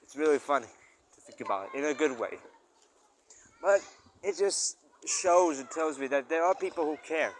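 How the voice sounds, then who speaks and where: A man's voice: fairly high pitch, animated, moderate pace, pauses often, slight background noise. A man talking casually in a rambling personal audio log as a musician, often searching for words and stressing exclamations. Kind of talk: casual rambling spoken-thoughts monologue.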